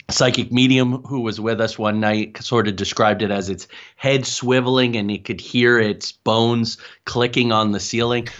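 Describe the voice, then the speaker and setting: Guy with a lowish voice telling stories as a guest researcher, kinda dull lo-fi call sound. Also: fairly bright tone. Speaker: guest, paranormal researcher and author. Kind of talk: conversational interview storytelling.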